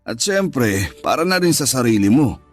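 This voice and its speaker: A wealthy Filipino businessman in a radio drama, a jealous and possessive man with a medium-pitched voice. He speaks in a controlling way that can promise care or threaten. Music plays faintly behind him. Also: sing-song, normal speed. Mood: indulgent. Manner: controlling, alternately reassuring and threatening. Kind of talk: Tagalog radio drama acting.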